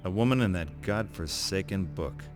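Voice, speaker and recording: An American man playing a cocky, sarcastic, hard-boiled monster slayer in a video game, with deadpan swagger. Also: low-pitched, moderate pace, over loud music, natural voice. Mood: smug, wry. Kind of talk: video game voice acting, wry narration.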